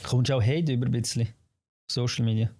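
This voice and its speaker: A man's voice: mid-range voice, steady tone, quick pace, mellow. A man with a Swiss German accent hosting a casual interview, chatting in a slangy, informal and joking way. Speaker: talk show host.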